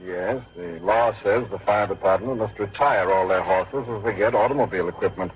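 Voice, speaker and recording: A middle-aged actor playing a kindly, patient father figure, hesitant and gentle, with an American accent, in old-time radio drama. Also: fairly low pitch, a little fast, natural voice. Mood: gently reluctant. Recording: dull lo-fi vintage radio recording.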